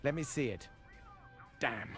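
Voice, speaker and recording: A man's voice: mid-range voice, unhurried, over music, lightly acted. A man playing a seasoned British military officer in a World War II game, clipped and authoritative. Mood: urgent, firm. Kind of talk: video game voice acting, WWII briefing.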